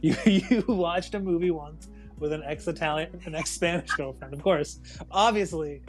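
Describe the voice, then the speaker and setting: An American man co-hosting a casual chat, teasing the others with quick jokes. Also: fairly high pitch, music in the background. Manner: joking, teasing, quick asides.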